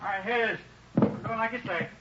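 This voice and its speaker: A man playing a cornered armed robber, agitated and boastful, in 1950s radio drama style with a husky voice. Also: high-pitched, fast talker, background noise, natural voice. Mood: panicked, pleading. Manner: agitated, insistent. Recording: dull old radio recording.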